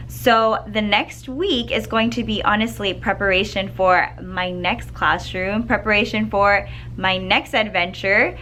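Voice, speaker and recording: A woman's voice: on the high side, a bit bright. Woman, teacher, slightly scratchy voice, chatty and upbeat, casual vlog, classroom, faint hum behind. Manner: chatty and upbeat, with fillers and throat clearing. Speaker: second grade teacher. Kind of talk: casual vlog chat to camera. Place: school classroom.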